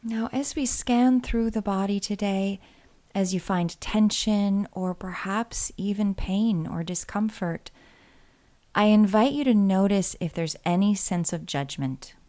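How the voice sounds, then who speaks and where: A woman leading a guided body scan meditation in a soothing, gentle and unhurried way, leaving pauses between phrases. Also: medium pitch.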